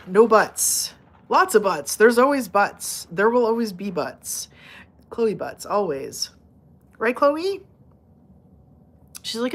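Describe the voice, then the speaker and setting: A woman hosting a casual live stream, chatting with viewers and cooing at her cats, laughing often and rambling with fillers as her voice starts to go. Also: a little high. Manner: giggly, playful baby-talk to her cats. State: losing her voice. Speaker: livestream host talking to viewers and her cats.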